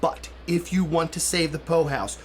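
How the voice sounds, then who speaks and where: Male actor as smooth planning bureaucrat, period radio drama. Slightly high voice, measured, placating, light background noise. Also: fairly even intonation, natural voice. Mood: confiding, placating.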